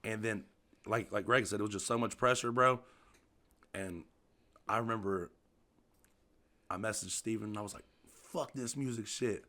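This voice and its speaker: A man with a loud voice talks excitably in casual chat, full of slang and "bro". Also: fairly low pitch. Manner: fast, excitable, slangy, says "bro" a lot.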